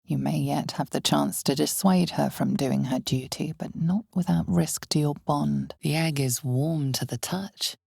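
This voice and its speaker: Husky, ominous fantasy game narrator. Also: female voice, mellow, no room sound, studio quality, full character voice. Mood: solemn, knowing. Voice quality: husky, breathy, low. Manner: measured, deliberate, dramatic, often dropping toward a breathy whisper. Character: ominous, knowing female narrator of a dark fantasy tale. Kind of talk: scripted dark fantasy game narration, second person.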